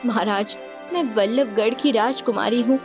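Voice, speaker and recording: A woman's voice: fairly high pitch, normal speed, over music, natural voice. Woman voicing a pleading, polite young princess. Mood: distressed. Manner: formal, pleading. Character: young injured princess, polite and fearful refugee.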